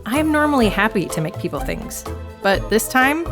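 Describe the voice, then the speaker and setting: A woman's voice: a little high, very animated, normal speed, over loud music. An actress playing a frazzled, wry woman knitter, telling her story in a confiding, sarcastic, exasperated monologue. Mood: weary, overwhelmed. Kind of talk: audio drama monologue, conversational storytelling to listener.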